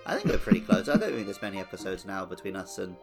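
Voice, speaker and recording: A man's voice: deep voice, very animated, racing, creaky, loud music behind the voice. A man co-hosting a podcast, chatting casually about a video game playthrough. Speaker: podcast co-host.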